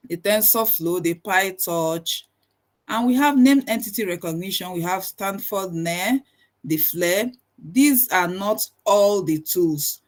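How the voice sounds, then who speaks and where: A Nigerian woman whose first language is Igbo, presenting a technical webinar lecture over a video call microphone, listing items one by one and often restarting her sentences. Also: fairly low pitch. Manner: methodical, lists items one by one with frequent restarts.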